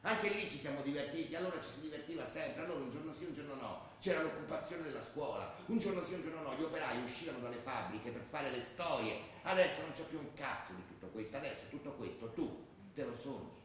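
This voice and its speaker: Nostalgic militant ultra, radio drama, rapid colloquial storytelling, strong echo, faint noise. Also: male voice, on the high side, lively intonation, fairly bright tone. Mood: gleeful, defiant. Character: streetwise male ultra looking back on rebellious militant youth. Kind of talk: theatrical radio drama monologue. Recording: very echoey, distant, faint noise.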